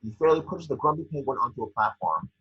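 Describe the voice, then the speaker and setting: A man with a husky, raspy voice reading scene descriptions for a screenplay table read in a brisk, vivid storytelling way. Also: medium pitch, very animated, a bit bright. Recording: dull lo-fi call audio.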